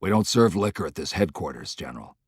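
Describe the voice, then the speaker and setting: A man voicing a terse, stern army general in an audiobook, muttering flatly with little emotion. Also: fast talker, husky, no room sound, exaggerated voice. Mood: cool, neutral. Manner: muttering, low and flat, unemotional. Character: terse, stern, quietly commanding middle-aged army general.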